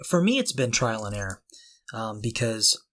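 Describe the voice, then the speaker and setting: A man's voice: lowish voice, very animated, normal speed, a bit bright. A man in his forties co-hosting a podcast in a casual, conversational way, thinking aloud in loose, hesitant phrases. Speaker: podcast co-host.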